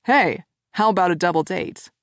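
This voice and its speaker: A woman voicing a cheerful, easygoing young man playing matchmaker, in a slightly lower, relaxed tone. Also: moderate pace, no room sound, clean studio sound. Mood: eager.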